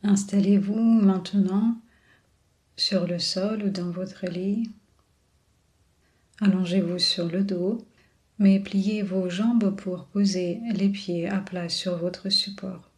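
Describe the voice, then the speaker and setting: Female meditation guide, mid-range voice, very slow and soothing, leaves long pauses. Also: mid-range voice, steady tone, darkish tone. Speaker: yoga and relaxation guide. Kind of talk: guided body scan meditation.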